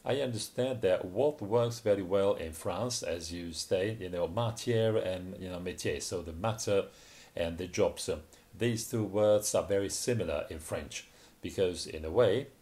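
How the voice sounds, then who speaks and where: A man interpreting a conference talk live, with a deep, low voice. He pauses often, filling the gaps with small hesitations and restarting his sentences as he goes. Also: darkish tone. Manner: hesitant, many fillers and restarts. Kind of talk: simultaneous interpretation of a video-call talk.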